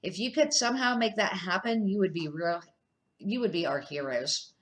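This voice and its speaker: A woman's voice: on the low side, normal speed, lightly acted. An earnest, principled man who runs a food truck, voiced by an older woman reading a storybook. He explains things emphatically and stands firmly by his views. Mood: resigned, friendly.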